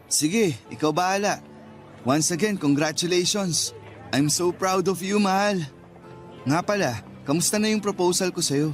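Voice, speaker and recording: A sweet-talking young Filipino boyfriend in a radio romance. His voice sits a little high, teasing yet earnest and full of affection, over soft background music. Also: measured pace. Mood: affectionate. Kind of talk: radio romance drama acting.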